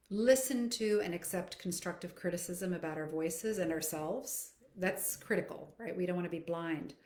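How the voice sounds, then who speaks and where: A roomy, echoing recording of a middle-aged woman with a slightly low voice. She talks conversationally, like a coach hosting a live question session. Her delivery is reflective and explanatory, aimed straight at her listeners. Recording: echoey, roomy sound.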